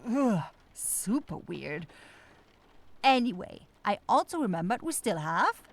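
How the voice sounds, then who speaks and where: A woman's voice: medium pitch, sing-song, slow pace, heavily acted. A woman playing a flustered, scatterbrained but caring young forest witch who dithers and searches for words in a fantasy roleplay audio drama. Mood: pleased, explaining.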